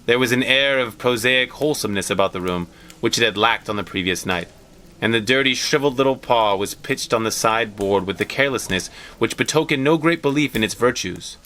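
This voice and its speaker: A man reading a story aloud as an expressive scripted narration. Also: medium pitch, a little fast, a little hiss or hum. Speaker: story reader.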